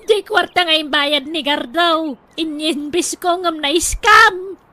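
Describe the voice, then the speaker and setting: A woman's voice: extremely high pitch, slow, sharp tone, no room sound, full character voice. A woman with an Ilocano accent playing an emotional, plain-spoken older village woman in a radio drama. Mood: distressed.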